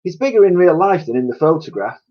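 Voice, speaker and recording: A man's voice: a little high, big pitch swings, fast talker, voice pushed up. A man voicing a dotty, kindly cleaning lady with a chatty, colloquial manner in a children's storybook. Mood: friendly. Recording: dull lo-fi recording.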